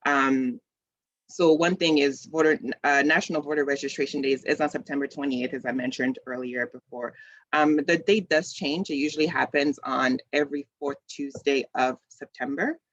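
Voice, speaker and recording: A man's voice: mid-range voice, steady tone, normal speed, a bit bright, no room sound. A man in his thirties from the Congo, hosting a community video call in a casual, conversational way with many ums and restarts. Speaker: community event host. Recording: slightly dull, dry call audio.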